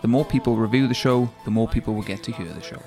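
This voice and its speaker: A man's voice: mid-range voice, fast talker, darkish tone, soft background music. A man hosting a podcast interview, making dry jokes in casual chat. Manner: dry, joking. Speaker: podcast host.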